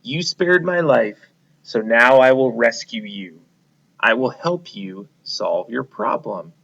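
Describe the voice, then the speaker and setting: A man's voice: mid-range voice, slow, creaky, a bit bright, heavily acted. A man voicing a small, timid but brave female mouse who speaks politely and pleads, in a storybook read-aloud. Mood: earnest. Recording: dull lo-fi recording.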